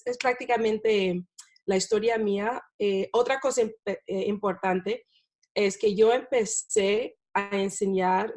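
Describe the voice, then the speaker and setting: A woman, a non-native Spanish speaker, talking as a guest about teaching in a relaxed interview, with many fillers and tag questions. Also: mid-range voice. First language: not Spanish. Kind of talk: casual interview talk.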